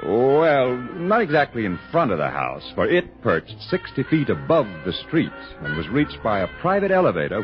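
An actor playing a wry storyteller, narrating a radio thriller in an unhurried manner. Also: male voice, lowish voice. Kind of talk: 1960s radio drama scripted narration. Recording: muffled old radio broadcast, musical backing. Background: music.

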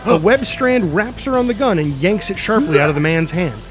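A man with a slightly high voice reads action lines and scene headings from a screenplay. His narration is vivid and punchy, with music underneath. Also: expressive, fairly quick. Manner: vivid, spoken sound effects. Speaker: stage-direction reader.

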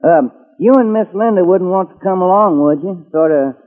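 A man's voice: high-pitched, moderate pace, phone-quality sound, natural voice. A man playing a boastful, folksy, sly Texan with a drawl and a needling joviality in an old radio drama. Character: folksy, boastful, sly Texan cattleman type, possible villain. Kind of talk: 1940s-50s radio drama acting. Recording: thin old radio recording, long reverb.

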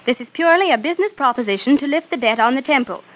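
A woman's voice: sits high, expressive, rapid-fire, lo-fi sound. An actress playing a practical young woman who leads a fundraiser in a confident, businesslike way. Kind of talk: 1940s radio acting.

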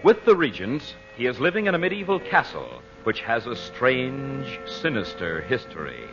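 A man announcing and narrating an old-time radio show in a theatrical, deliberate, emphatic scripted style. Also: mid-range voice, very animated. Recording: dull old radio recording, faint music.

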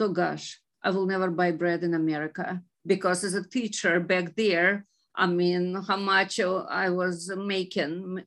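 A woman teacher from Ukraine, speaking thoughtfully in a panel discussion, pausing with frequent fillers. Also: medium pitch, fairly bright tone.

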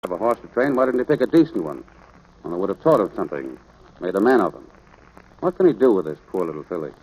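An actor playing a stern, gruff middle-aged American rancher father, firm and plain-spoken, in 1940s radio drama style. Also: deep voice, big pitch swings, fast, darkish tone, faint music behind, low-quality recording. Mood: exasperated, dry. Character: stern, gruff rancher father instructing his young son. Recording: vintage radio drama recording.

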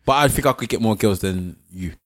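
A man with a hoarse voice, co-hosting a podcast and teasing his friends with jokes. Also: on the low side, fairly quick, dry recording, studio quality. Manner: teasing, joking, laughs loudly. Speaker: podcast co-host. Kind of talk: casual banter.

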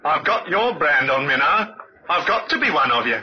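An actor playing a sly, two-faced young shop assistant turned crook in an old radio play. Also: male voice, a little high, very animated, normal speed. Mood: ingratiating. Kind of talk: old-time radio drama acting. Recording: dull old radio recording.

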